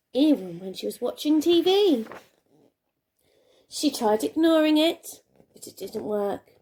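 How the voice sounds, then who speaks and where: A woman reading a children's storybook aloud like a primary teacher, expressively, with dramatic pauses and emphasis. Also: sits high, a little mellow, low-quality recording.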